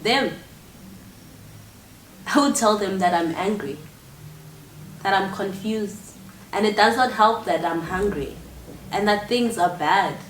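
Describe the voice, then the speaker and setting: A woman's voice: mid-range voice, slow, long pauses, close to an everyday voice. An actress playing a proud, angry, impoverished woman who refuses pity, in an intense theatrical monologue. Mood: bitter, proud. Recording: echoey room with faint noise.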